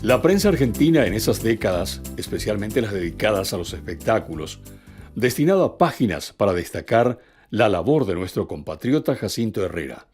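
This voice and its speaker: A man's voice: fairly low pitch, big pitch swings, moderate pace, darkish tone, soft background music. A man reads scripted documentary narration as the narrator.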